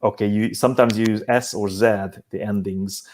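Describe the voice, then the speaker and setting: Hungarian-accented man, halting speech. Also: fairly low pitch, steady tone, darkish tone, slight background noise. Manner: halting, many restarts and repeated words. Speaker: guest, business simulation company head. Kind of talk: casual discussion.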